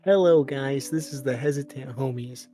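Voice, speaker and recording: A young man co-hosting a casual podcast, talking in a flat, deadpan monotone. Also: mid-range voice, normal speed. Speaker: podcast co-host. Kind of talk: casual chat.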